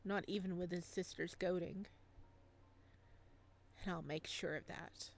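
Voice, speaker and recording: A woman's voice: lowish voice, steady tone, a little slow, slight background noise, voice pushed down. A woman reading a young male ghost's narration and dialogue from a visual novel, wistful and bitter, in an earnest dramatic manner. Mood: cold, bitter.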